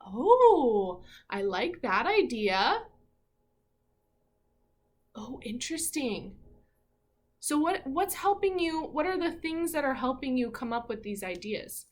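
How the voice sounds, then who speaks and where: A woman teacher leading an interactive read-aloud for young children, asking questions and reading with animated emphasis. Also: sits high. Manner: asks questions and pauses as if hearing answers. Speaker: elementary school teacher.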